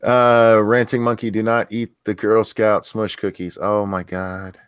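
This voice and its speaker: A man with a deep voice hosting a casual livestream chat, reading viewer questions in an easygoing, crude, joking way. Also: level tone, measured pace, smooth, no room sound, studio quality.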